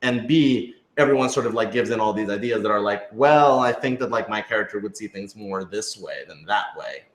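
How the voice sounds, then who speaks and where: Low-voiced man in an echoey room. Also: lively intonation, brisk, hardly any pauses. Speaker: scriptwriter and producer of an audio drama. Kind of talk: casual group Q&A chat. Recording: roomy, echoey sound.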